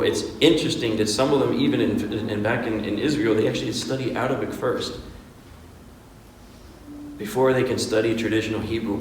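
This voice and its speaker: A man's voice: on the low side, slight background noise. A man, an imam, giving an informal Quran workshop lecture, conversational and full of rhetorical questions, with Arabic pronunciation shown along the way. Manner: conversational, asks rhetorical questions like "right?" and "okay?". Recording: echoey hall, speaker far from the mic.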